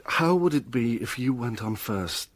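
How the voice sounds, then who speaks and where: Troubled young man, medium-pitched voice. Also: animated, measured pace, no room sound. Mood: troubled, confiding. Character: troubled young man, earnest, guilt-ridden, protective lover. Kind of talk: radio drama acting.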